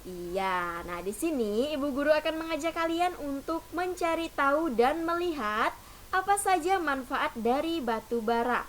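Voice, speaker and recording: A woman's voice: high-pitched, expressive, measured pace, rough recording. A woman teacher giving a patient lesson to young children, often opening sentences with "nah". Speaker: primary school teacher. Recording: faint hiss.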